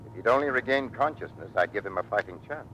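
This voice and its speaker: A man's voice: on the low side, expressive, fast, fairly bright tone, slight background noise. An actor playing a weary, earnest man in a military hospital in an old radio drama. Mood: grave. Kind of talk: 1940s-50s radio drama acting. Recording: muffled vintage radio recording with music.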